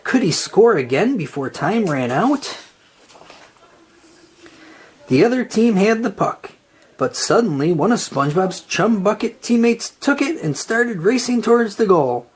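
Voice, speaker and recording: A narrator reading a children's storybook aloud in an animated style. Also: medium pitch, normal speed, husky.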